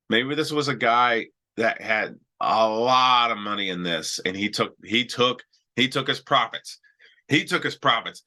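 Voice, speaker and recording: A man's voice: mid-range voice, sharp tone. A man hosting a live stock trading show, talking loosely and chattily through charts while he has a cold. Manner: loose and chatty, many restarts and "all right"s. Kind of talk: casual live-stream chart talk.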